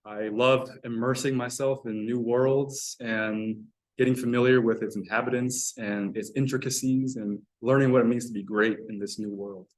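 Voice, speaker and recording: A young American man in his twenties speaks with a middle-pitched voice. He delivers a prepared ice-breaker speech earnestly and steadily, with a sincere tone and an occasional filler. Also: steady tone, moderate pace, few pauses. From United States. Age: 20s. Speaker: club member giving a speech.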